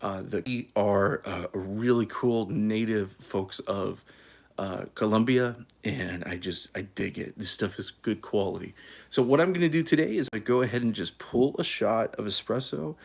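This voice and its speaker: A man's voice: lowish voice, moderate pace, vocal fry. A man from California hosting a casual coffee live stream, easygoing and folksy, with many fillers and restarts. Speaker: coffee channel host.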